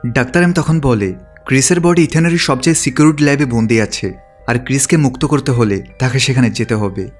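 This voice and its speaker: A man's voice: medium pitch, a little fast. A man reading scripted narration that retells a film's story as a recap narrator. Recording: background music under the voice. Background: music.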